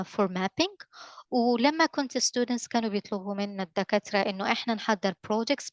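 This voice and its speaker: A woman's voice: medium pitch, no room sound. A young woman from northern Morocco, a native Arabic speaker with a Moroccan accent, answering hesitantly on a webinar video call with many fillers. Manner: hesitant, many fillers and restarts, friendly advice tone. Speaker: guest speaker from a mapping company. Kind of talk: webinar answer, mixing languages mid-sentence.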